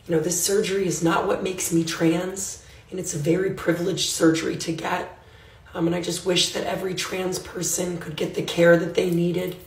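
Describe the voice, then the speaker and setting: A hoarse woman speaks in a gravelly, worn voice, her words earnest and emotional. She films herself on a phone at arm's length, and the room around her rings with echo, the voice a little distant from the mic. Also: fairly low pitch, little pitch movement, moderate pace, slight background noise. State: hoarse from laryngitis. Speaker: social media creator. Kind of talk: confessional phone video. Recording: echoey room, far from the phone.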